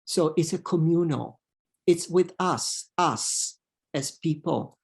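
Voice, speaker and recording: A man with an Italian accent, a native Italian speaker, giving a hesitant lecture full of fillers and slowly reading poetry aloud. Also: fairly high pitch, gravelly. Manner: hesitant, many fillers, reads verse slowly. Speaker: literature professor.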